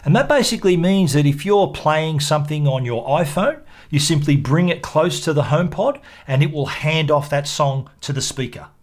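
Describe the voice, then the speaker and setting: A man's voice: fairly high pitch, animated, moderate pace, hardly any pauses. Man presenting a tech product review. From Australia. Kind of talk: product review narration to camera.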